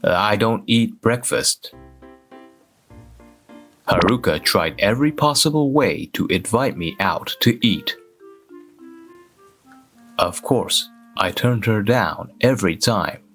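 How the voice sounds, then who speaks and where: Slow, low-voiced, reserved office man, acted by a man. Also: animated, fairly bright tone, faint music behind. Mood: flat, dismissive. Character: reserved, awkward male office worker, narrating his own story. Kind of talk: scripted first-person narration in a manga dub.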